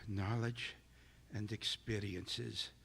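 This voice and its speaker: An actor playing a dying, remorseful invisible scientist in an audio drama, speaking in halting, clipped phrases with a husky voice. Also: male voice, lowish voice, fairly even intonation, fairly bright tone, a little hiss or hum, heavily acted. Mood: regretful, pleading. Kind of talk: dramatic audio theater monologue.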